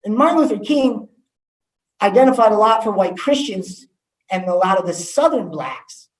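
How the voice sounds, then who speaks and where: A man's voice: high-pitched, animated, moderate pace. A man, giving a candid talk to students as an assistant principal, with frequent ums. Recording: echoey room, voice from far off.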